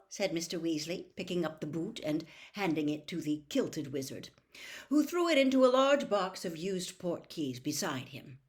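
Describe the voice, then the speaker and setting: A woman narrating a novel as a storybook reader, in a measured, careful and expressive storytelling manner. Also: medium pitch. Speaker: audiobook reader.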